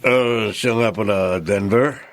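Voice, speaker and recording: Radio-drama attorney: lowish pitch, solemn, protective, middle-aged. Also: male voice, slow. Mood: reassuring. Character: solemn, protective family lawyer, loyal friend.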